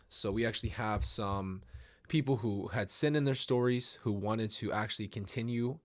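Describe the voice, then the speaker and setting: A man hosting a casual livestream, a little nasal from a stuffy nose, rambling and apologetic as he talks to his viewers. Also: lowish voice. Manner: rambling, apologetic, restarts often. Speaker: livestream host reading viewer stories. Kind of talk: casual livestream chat and story reading.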